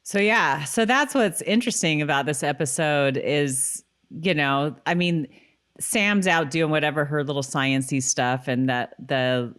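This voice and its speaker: Woman, low-pitched voice, podcast co-host, chatty recap style, laughs easily, acts out reactions. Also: measured pace, a bit bright, clean tone. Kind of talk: casual chat recapping a TV episode.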